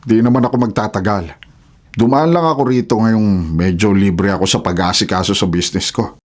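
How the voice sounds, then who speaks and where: A man's voice: on the low side, fairly quick, natural voice. Actor as a stern older father in radio drama. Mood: firm. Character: stern, vengeful older widowed father, businessman. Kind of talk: Filipino radio drama acting.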